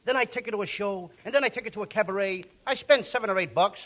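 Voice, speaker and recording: A man's voice: high voice, quick pace, a bit bright, dull audio. A male comedian in a 1940s radio comedy, wisecracking in a brash, boyish way. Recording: noisy 1940s radio broadcast.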